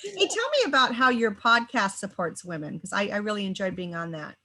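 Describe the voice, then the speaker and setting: A woman's voice: mid-range voice, expressive, a little fast, pushed and bright, dry recording. Woman podcast host; encouraging listener with short replies and frequent laughs. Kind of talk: conversational interview.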